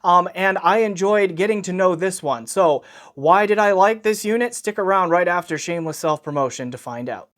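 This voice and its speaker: A man's voice: high voice, animated, moderate pace, fairly bright tone, no room sound. Man reviewing gear, close dry sound. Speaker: audio gear reviewer, channel host. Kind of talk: informal product review to camera.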